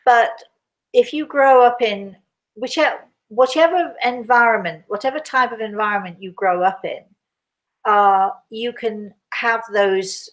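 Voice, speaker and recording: A middle-aged woman, a host with a croaky voice, chatting casually and rambling with many restarts as she answers viewer questions. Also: mid-range voice. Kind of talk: casual live chat.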